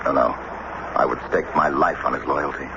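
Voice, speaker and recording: A man's voice: rumbling low, fairly even intonation, moderate pace, gravelly. An actor playing an irascible, suspicious American statesman and devoted husband in a theatrical radio drama style. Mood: weary, emphatic. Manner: emphatic, theatrical, declamatory. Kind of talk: 1970s radio drama acting. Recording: muffled, noisy old radio broadcast.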